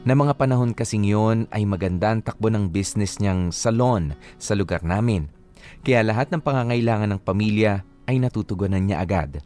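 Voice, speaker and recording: A man narrating a scripted first-person radio drama in measured, reflective Tagalog storytelling, as a grown person looking back on childhood. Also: fairly low pitch, warm tone, soft background music, lightly acted. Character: grown narrator recalling a childhood in a second family.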